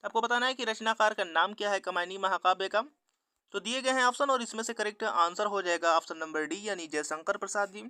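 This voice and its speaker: A man with a high, bright voice narrates a mock test as a teacher. His sound is crisp and dry, and he moves methodically from each question to its answer in a set pattern. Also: a little fast, no room sound. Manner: methodical, formulaic question-then-answer delivery. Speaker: exam-prep teacher reading quiz questions and answers.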